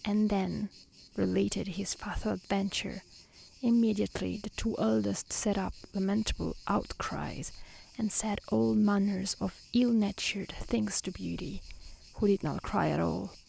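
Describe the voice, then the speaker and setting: A woman reading a fairy tale aloud in a soft, near-whispered ASMR style, gentle and calm. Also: mid-range voice, vocal fry, slight background noise, rough recording. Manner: gentle, calm, unhurried delivery. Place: outdoors in nature.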